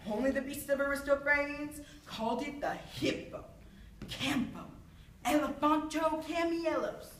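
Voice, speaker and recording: A woman's voice: sits high, measured pace, slight background noise. A young woman playing a proud, witty, mocking swordsman poet in a theatrical monologue, haughty and taunting as she declaims. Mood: mocking, playful. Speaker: student actor. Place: echoey room, far from the microphone. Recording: roomy echo, distant microphone.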